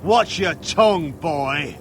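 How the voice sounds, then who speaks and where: A man playing a gruff, loyal and defiant soldier of a noble house in a dramatic fantasy video game. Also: on the high side, lively intonation, slow, a little hiss or hum. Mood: stern defiance. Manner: gruff, defiant, archaic phrasing.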